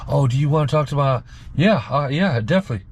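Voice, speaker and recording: A man's voice: fairly high pitch, lively intonation, fast talker, a little mellow. A man riding as a passenger, telling a personal story in a casual, colloquial and emphatic way, often repeating himself. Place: inside a moving car. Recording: clear car cabin road noise.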